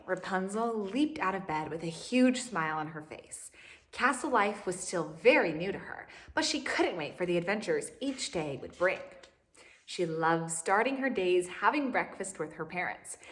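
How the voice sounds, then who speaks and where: A woman reading a story aloud to young children, expressively and with asides and questions to the listeners. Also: fairly low pitch.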